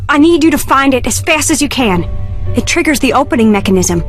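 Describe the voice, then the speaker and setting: A headstrong young woman in a mystery game. She speaks in a high voice with clipped urgency, faint music underneath. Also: animated, brisk. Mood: urgent, impatient. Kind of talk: video game voice acting.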